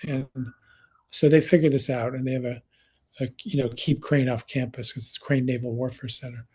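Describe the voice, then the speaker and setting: Husky-voiced man in his sixties. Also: medium pitch, flat delivery, normal speed, mellow. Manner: conversational, many restarts and fillers. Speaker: researcher and speaker. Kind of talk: panel talk.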